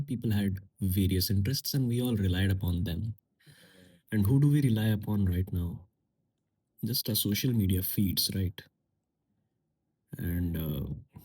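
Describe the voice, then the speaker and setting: A man hosting a solo podcast, speaking in a musing, unhurried way with pauses and fillers; English is not his first language. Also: deep voice, darkish tone, husky. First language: a language other than English and Hindi. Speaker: podcast host. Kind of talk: reflective solo podcast monologue.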